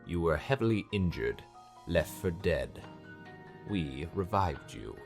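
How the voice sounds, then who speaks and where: A man playing a grave, formal high cleric and mentor in a dark fantasy visual novel, with measured delivery. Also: sing-song, exaggerated voice. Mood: reassuring, solemn. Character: male vampire high cleric, grave mentor, deferential servant. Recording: music behind the voice.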